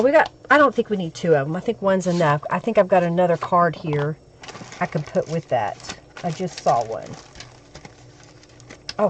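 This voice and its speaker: Hoarse woman chatting slowly. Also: medium pitch, fairly bright tone. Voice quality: crackly. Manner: chatty, talks to viewers while she works. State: hoarse from a cold. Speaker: craft live-stream host. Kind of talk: casual live-stream crafting chat.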